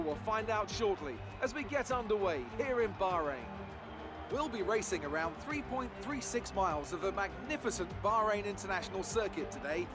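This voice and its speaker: An actor playing an in-game race commentator, speaking in polished, theatrical broadcast style. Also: male voice, high-pitched. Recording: game audio with loud music underneath.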